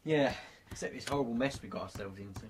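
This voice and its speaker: A man plays a level-headed leader of survivors in a radio drama. He is gruff and weary, with a dry sardonic edge and a mid-pitched voice. The recording is clearly noisy, with clicks. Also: quick pace, natural voice. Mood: sardonic irritation. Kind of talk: amateur radio drama acting.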